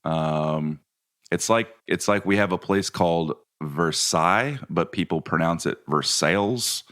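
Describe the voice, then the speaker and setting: Deep-voiced Kentucky man, relaxed and chatty. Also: measured pace. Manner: relaxed, laughing, many fillers and restarts. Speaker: guest photographer. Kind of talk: casual podcast interview. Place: video call from home.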